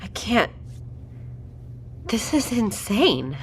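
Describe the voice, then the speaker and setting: A woman's voice: a little high, big pitch swings, very slow, slight background noise, exaggerated voice. A woman playing a direct, questioning doctor and project leader in an audio drama. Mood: shocked, probing.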